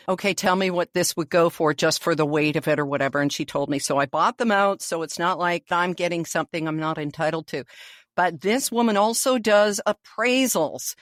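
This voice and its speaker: A middle-aged Canadian-accented woman with a lowish voice, recorded dry. She speaks as a podcast co-host, casual and conversational, with a reflective, wry touch. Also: no echo. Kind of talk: casual chat.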